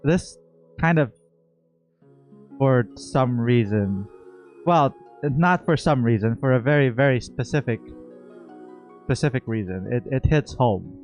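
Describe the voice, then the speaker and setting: A man giving a casual, expressive livestream reaction to a game, often repeating words for emphasis. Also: mid-range voice, unhurried, pauses often, faint music behind. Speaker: gaming streamer.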